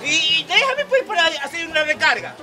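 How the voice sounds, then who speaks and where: Man, high-voiced street comic, fake wailing, crowd and music behind. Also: big pitch swings, a little fast. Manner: loud, playful, fake wailing and bickering. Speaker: street comedian. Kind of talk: improvised street comedy sketch. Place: outdoor plaza before a large seated crowd. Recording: outdoor crowd noise and music.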